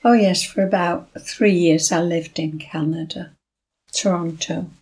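Slow, husky-voiced woman in her eighties. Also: fairly low pitch, fairly even intonation, low-quality recording. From Lancashire, England. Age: 80s. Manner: brief answers, soft laughs. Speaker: guest storyteller. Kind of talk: casual interview chat.

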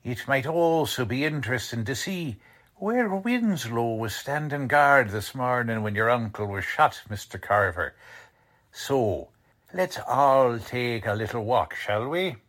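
Man acting a slow, shrewd streetwise investigator. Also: medium pitch, animated, a bit bright. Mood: suspicious, probing. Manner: colloquial, ungrammatical, deliberate questioning. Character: shrewd streetwise male investigator, quietly suspicious. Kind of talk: 1940s radio drama acting.

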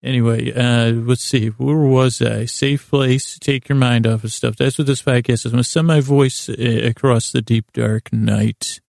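A man hosting a sleep podcast in a calm, lulling, soothing way, rambling haltingly and circling back over his own words. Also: medium pitch, creaky, no room sound, studio quality. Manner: hesitant, halting, circles back and repeats himself. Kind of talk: meandering, rambling bedtime monologue.

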